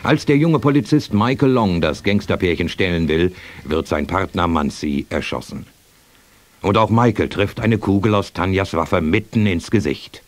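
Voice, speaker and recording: A man with a deep voice reads scripted narration for a radio drama. Prominent music plays behind him as he tells the story. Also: expressive, normal speed, no echo.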